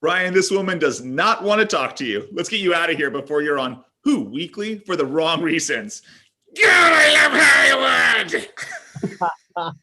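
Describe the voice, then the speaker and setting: A brash Hollywood hustler played by a man in a comedy table read. His high, forceful voice swings widely in pitch, with punchy, over-the-top delivery. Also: sing-song, a little slow, a little hiss or hum, exaggerated voice. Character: brash, name-dropping Hollywood wheeler-dealer man.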